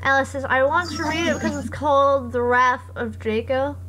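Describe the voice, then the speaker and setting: A young woman streamer with a hoarse voice, chatting casually and reading comments aloud in a rambling, sarcastic way. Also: sits high, steady tone, normal speed, fairly bright tone. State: hoarse after voice acting. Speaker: live streamer.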